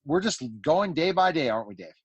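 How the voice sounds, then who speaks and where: A man's voice: a little high, sing-song, a little fast, husky, dry recording. A man hosting a radio show with casual, conversational interview banter.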